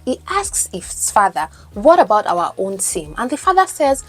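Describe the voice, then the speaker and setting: A woman's voice: mid-range voice, sing-song, moderate pace, low-quality recording. A Nigerian woman whose first language is Yoruba, teaching English as a coach and podcast host, explaining and asking the listener questions. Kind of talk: teaching lesson, mixing in Pidgin.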